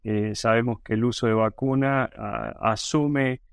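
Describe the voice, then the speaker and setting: A man's voice: medium pitch, steady tone, a little slow, vocal fry, smooth, dry recording. Hesitant Argentine man, dry close sound. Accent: Argentine Spanish. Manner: thoughtful, many hesitations and restarts. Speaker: virology professor, guest. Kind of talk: interview answers.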